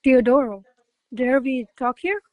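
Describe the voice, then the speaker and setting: Radio play ingenue voiced by an actress: young maid in love, effusive, excitable, highish pitch, dry recording. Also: female voice, a little slow, no echo. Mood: elated, adoring. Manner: effusive, romantic, theatrical. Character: devoted, excitable young lady-in-waiting in love. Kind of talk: verse stage comedy, recited as radio play.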